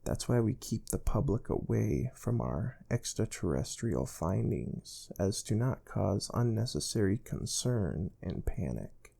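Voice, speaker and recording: A man playing a calm, soft-spoken space research team interviewer in an ASMR role play, explaining things gently and without hurry. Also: fairly low pitch, unhurried, vocal fry, hardly any pauses, heavily acted. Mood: calm, serious. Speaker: ASMR performer.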